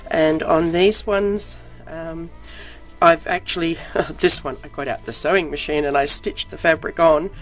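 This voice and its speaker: Croaky-voiced woman chatting about crafts. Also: low voice, steady tone, measured pace, soft background music. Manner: chatty, frequent ums, self-deprecating. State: croaky voice from illness. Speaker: crafting vlogger. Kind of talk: casual show-and-tell.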